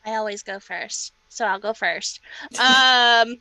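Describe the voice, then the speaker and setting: A woman's voice: a little high, slow pace, bright, forceful tone, dry recording, poor audio. A woman player on a tabletop game show giving a casual, informal recap.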